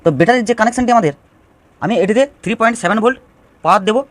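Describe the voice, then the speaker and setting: A man's voice: high-pitched, rapid-fire. A man giving an informal step-by-step DIY electronics tutorial, explaining and restating phrases as he goes.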